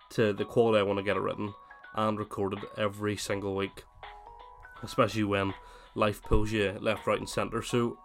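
A man with a cold hosting his gaming podcast, chatting casually and then reading a scripted essay in steady narration. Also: lowish voice. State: has a cold, voice slightly worn. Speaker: podcast host.

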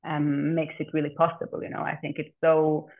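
Female interview guest, casual and halting delivery with repeated words, thin phone-band audio. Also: sits low, monotone. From Portugal. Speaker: interview guest, community land steward. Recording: narrow phone-like call audio.

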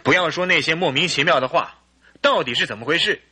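An actor playing a suspicious, anguished husband in a radio drama, with a husky, earnest and theatrical delivery. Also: male voice, a little high, fast talker, bright, forceful tone, heavily acted. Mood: stern, demanding. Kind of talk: Mandarin radio drama acting and storytelling.

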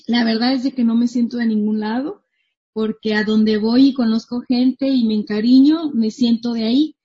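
A woman's voice: a little high, moderate pace, lo-fi sound. A woman, a marketing coach chatting casually as a guest from her home. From northwestern Mexico. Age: 30s. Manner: chatty, animated, laughs easily. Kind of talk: casual interview chat.

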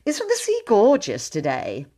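A woman's voice: mid-range voice, wildly swooping pitch, unhurried, warm tone, voice pushed up. A woman reading aloud voices a breezy, amused young woman who brushes off a worry. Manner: lightly dismissive.

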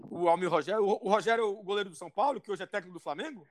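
A man's voice: high-pitched, rapid-fire, crowd noise. A man with a cold, co-hosting a casual joking chat in a teasing, playful way. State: has a cold. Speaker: co-host.

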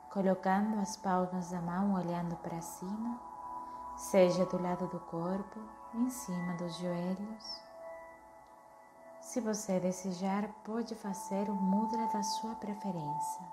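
A woman leading a guided meditation in a soothing, unhurried manner, gently giving instructions. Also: medium pitch. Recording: echoey, with music underneath. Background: music.

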